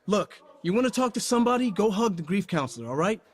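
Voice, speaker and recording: An actor playing a defensive young football player, sarcastic and bristling in an audio drama. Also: male voice, high-pitched, sing-song, quick pace. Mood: angry.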